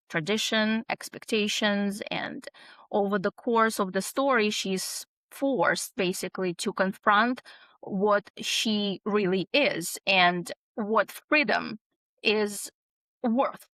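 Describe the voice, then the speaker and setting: A woman's voice: mid-range voice, steady tone, slow pace, fairly bright tone, no echo. Hesitant woman author, very dry sound. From abroad, immigrated around twenty-two. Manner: hesitant, many fillers and restarts. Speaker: author guest. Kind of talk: casual interview talk.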